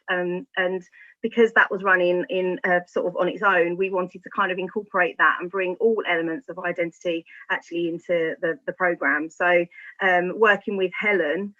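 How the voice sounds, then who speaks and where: Woman w/ bright lowish voice, school staffer explaining stuff, kinda hesitant, bit dull audio. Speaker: secondary school staff member. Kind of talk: informal explanation in an online discussion. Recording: slightly muffled, band-limited audio.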